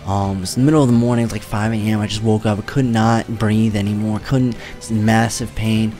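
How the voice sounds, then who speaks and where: A man's voice: on the low side, steady tone, a little fast, dark tone. A young man in his twenties talks loosely into a desk mic in a small room at home, rambling and swearing as he goes. His voice sounds a bit worn, and there is faint music behind him. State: voice weakened from treatment. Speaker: streamer. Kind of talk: casual vlog talk to camera. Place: home streaming room, indoors.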